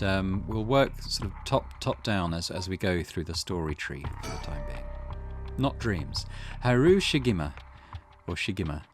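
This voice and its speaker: A man hosting a let's play, reading a visual novel's text aloud and adding his own comments. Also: fairly low pitch. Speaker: gaming channel host. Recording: music behind the voice. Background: game music.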